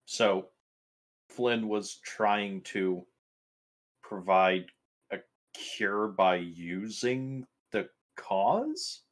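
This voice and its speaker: A man playing a tabletop roleplaying game, asking questions in character in a halting, deliberate way. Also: fairly low pitch, big pitch swings. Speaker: player.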